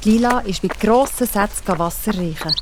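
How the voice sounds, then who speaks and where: A woman's voice: medium pitch, normal speed, noisy background. A woman with a Swiss German accent narrating a scripted children's audio story.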